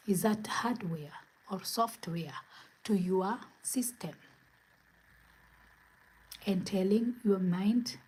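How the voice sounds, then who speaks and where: A woman from Kenya hosting a spiritual livestream from home, speaking in gentle, repetitive affirmations. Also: mid-range voice, breathy edge, poor audio. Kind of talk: inspirational livestream talk. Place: home, where it is late at night.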